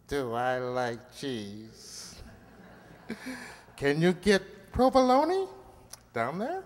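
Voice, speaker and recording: A man's voice: fairly high pitch, wildly swooping pitch, drawn-out words, exaggerated voice. A man voicing a small talking mouse, a naive, folksy family man in a radio play. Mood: hesitant, timid. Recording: echoey hall with long reverb.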